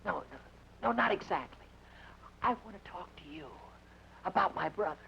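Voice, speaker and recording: A man's voice: sits high, expressive, normal speed, gravelly, bright, forceful tone, heavily acted. An actor playing a young American man searching for his outlaw brother, hesitant and stammering, in 1950s radio style. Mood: uneasy. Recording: dull old radio broadcast with hiss.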